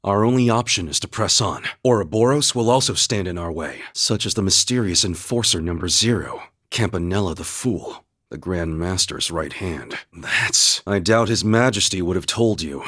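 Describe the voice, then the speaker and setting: A steadfast young warrior-knight from a video game. His voice sits low with a breathy, husky grain. He speaks with solemn, measured formality and earnest devotion. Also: expressive, fairly bright tone, no echo, studio quality, full character voice. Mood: solemn, composed. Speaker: video game character voice actor. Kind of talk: dramatic English video game voice acting.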